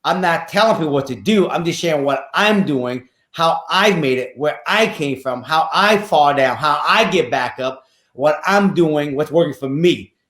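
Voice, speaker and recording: A man's voice: on the high side, expressive, moderate pace, a bit bright, roomy sound. Earnest man in his fifties, from Vietnam. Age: 50s. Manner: earnest, repeats phrases, slightly nonstandard English grammar. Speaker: podcast guest, real estate investor. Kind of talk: casual interview storytelling.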